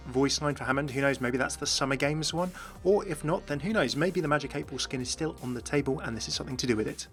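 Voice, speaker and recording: A man's voice: mid-range voice, pushed and bright. A man hosting a gaming channel, giving enthusiast commentary as he presents game voice clips. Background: background music.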